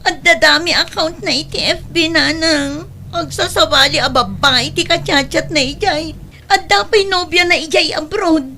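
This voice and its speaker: A woman's voice: very high-pitched, moderate pace, pushed and bright, slight background noise, full character voice. A woman playing an aggrieved, whining young daughter with an Ilocano accent in an audio drama. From Philippines. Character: jilted, pregnant young daughter, whiny and aggrieved. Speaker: voice actor in comedy drama.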